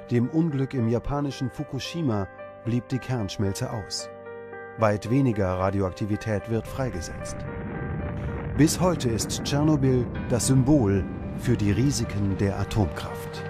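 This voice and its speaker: A man reading scripted documentary narration in a measured, dramatic manner. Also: medium pitch. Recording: music behind the voice. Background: background music.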